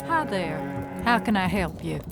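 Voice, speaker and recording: A woman's voice: on the low side, big pitch swings, vocal fry, over loud music. A woman playing a courteous, watchful frontier storekeeper in a western audio drama, with polite, formal phrasing. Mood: courteous, hospitable. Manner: polite, formal, measured hospitality.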